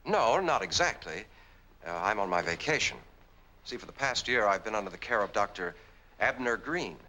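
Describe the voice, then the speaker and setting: Deep-voiced, hesitant 1940s film patient. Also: male voice, expressive, slight background noise. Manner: halting, hesitant, courteous. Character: polite, hesitant man posing as troubled patient. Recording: vintage film soundtrack, loud music behind.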